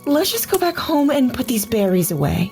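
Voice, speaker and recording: An actress playing a gentle young village wife who giggles and speaks earnestly, in an audio drama. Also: female voice, high-pitched, big pitch swings, normal speed, soft background music. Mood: calm.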